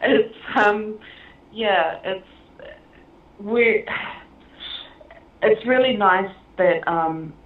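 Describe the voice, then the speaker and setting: A woman's voice: medium pitch, very slow, long pauses. A woman film director being interviewed, talking casually with many fillers and frequent laughs. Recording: echoey, tinny phone-like line.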